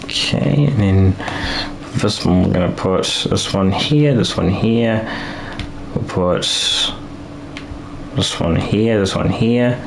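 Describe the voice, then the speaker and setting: A man chats loosely through a game stream, thinking aloud and restarting his sentences as he goes. His voice sits a little low and is growing hoarse from talking too long. A faint hiss runs under the recording. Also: slow pace, long pauses. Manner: rambling, thinking aloud with many restarts and fillers. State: losing his voice. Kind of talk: casual live-stream talk while building.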